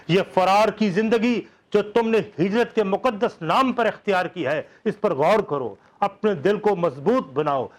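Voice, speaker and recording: Guy with a high voice doing a fiery speech recital, big slow emphasis then quiet dips. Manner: swells loud and slow on key phrases, drops low and quiet after. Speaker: host reciting a historic speech. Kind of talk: impassioned oratorical recitation.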